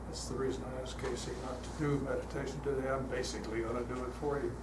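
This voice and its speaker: An older man speaking as a guest at a church, telling stories in a relaxed, chatty way with frequent fillers, his voice calm. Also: mid-range voice, fast talker. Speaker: guest speaker leading a guided meditation. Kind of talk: storytelling talk to a congregation. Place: church hall. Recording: echoey hall, heard from a distance, noisy.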